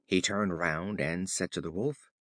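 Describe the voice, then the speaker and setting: A man reading children's fables as an audiobook narrator, in a measured, formal storybook style. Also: sits low, fairly even intonation, fairly bright tone, no echo, studio quality.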